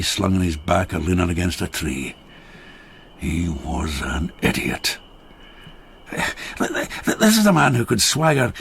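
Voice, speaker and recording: An actor playing a rueful rural labourer of old Japan in a radio drama, a wry storyteller with a gravelly, husky voice. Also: male voice, low voice, slight background noise, full character voice.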